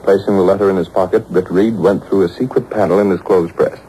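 Man as low-pitched dramatic serial narrator. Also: steady tone, fast talker, mellow, a little hiss or hum, close to an everyday voice, low-quality recording. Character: dramatic male announcer of adventure serial. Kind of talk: 1940s radio serial narration. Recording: old radio broadcast with music behind.

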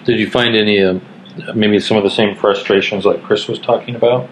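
A man's voice: on the low side, steady tone, fairly quick, slight background noise, low-quality recording. An older man hosting a casual living-room podcast discussion, affirming and drawing out his guests. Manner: affirming, encouraging, frequent "right". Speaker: podcast host. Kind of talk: casual interview.

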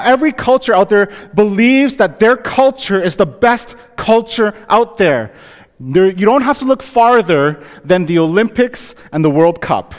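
A man's voice: high voice, in a large hall. A Canadian man, a pastor, delivering a conversational sermon to his congregation, often asking "right?". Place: church sanctuary.

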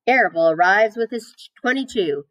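A woman's voice: mid-range voice, expressive, normal speed, fairly bright tone, no room sound, pitched above the performer's own voice, lightly acted. A woman voicing a world-weary, gossipy old ewe in a storybook, grimly matter-of-fact and knowing.

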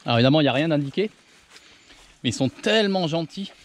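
A man's voice: fairly high pitch, animated, fast talker. A man in his forties talking upbeat to a camera held at arm's length while hiking a jungle mountain trail. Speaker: travel vlogger. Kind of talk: selfie-style travel vlog.

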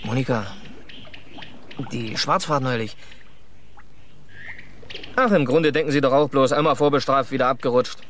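A man acting a troubled, defensive young driver with a criminal record in a German radio crime play, speaking haltingly. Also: mid-range voice, fairly bright tone, slight background noise, natural voice. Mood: bitter, confessing. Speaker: radio drama actor.

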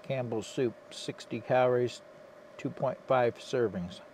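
Quiet man muttering inside a car. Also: a little slow, low-quality recording. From Michigan. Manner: low-key, quiet, half-muttered asides. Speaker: vlogger. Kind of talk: casual vlog commentary. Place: inside a parked car, cold and windy outside. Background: faint wind outside.

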